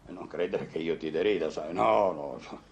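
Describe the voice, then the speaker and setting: An actor playing a teasing, insistent doctor and confidant in a vintage Italian radio comedy, theatrical and emphatic. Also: male voice, a bass voice, moderate pace. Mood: sympathetic, emphatic.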